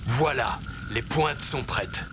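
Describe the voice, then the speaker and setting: A man's voice: medium pitch, slow, heavy background noise, natural voice. A man playing a wary, grumbling Sioux hunter in a French cartoon dub. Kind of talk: French animated series dubbing.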